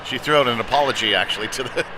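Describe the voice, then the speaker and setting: A man's voice: medium pitch, very fast. A man doing live ice hockey play-by-play in a conversational manner that lifts on the action. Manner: conversational, rising to excited calls on big plays. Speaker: former goaltender. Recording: clear arena noise behind the voice.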